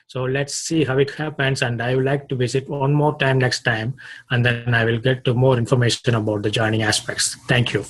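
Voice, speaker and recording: A man from India with an Indian accent, a club guest giving a short unprepared speech on a video call, halting and restarting his phrases. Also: mid-range voice, little pitch movement, fairly bright tone.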